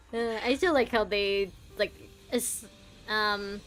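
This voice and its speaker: A woman's voice: mid-range voice, very slow, fairly bright tone, rough recording. A woman streamer giving casual commentary on a story game and talking back to its characters.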